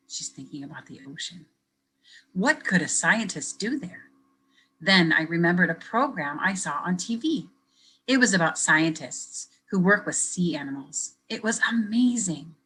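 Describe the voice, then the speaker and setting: A woman educator reading a picture book aloud to children, pausing to ask them questions in a gentle, expressive way. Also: lowish voice, husky, low-quality recording. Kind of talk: children's read-aloud with teacher commentary.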